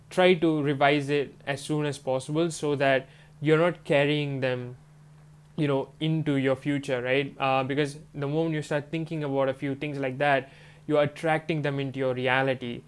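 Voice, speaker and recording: Congested man with a flat, smooth voice. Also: mid-range voice. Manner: rambling, many fillers like "you know" and "um". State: congested from a stuffy nose and swollen throat. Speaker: manifestation coach. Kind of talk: casual talk to camera.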